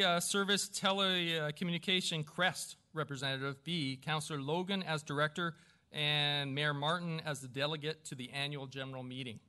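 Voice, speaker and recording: Bright-voiced man chairing a council meeting. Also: fairly high pitch. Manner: halting, self-deprecating, stumbling over names. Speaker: newly elected mayor chairing a council meeting. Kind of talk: formal meeting procedure with informal, joking asides. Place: council chamber with a small audience.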